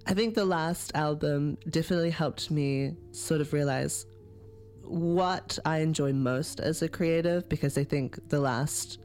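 A woman's voice: deep voice, unhurried, darkish tone, soft background music. Brief: laid-back woman artist guest, giggly, chatty, studio mic. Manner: giggly, lots of "like" fillers. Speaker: musician guest. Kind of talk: casual radio interview chat. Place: radio studio.

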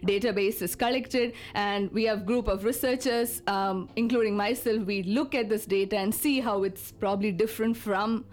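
A woman from South India whose first language is Malayalam, a researcher and lecturer explaining her work as a radio interview guest in a hesitant way, with many pauses and fillers. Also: mid-range voice, steady tone, darkish tone. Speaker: speech technology researcher and lecturer.

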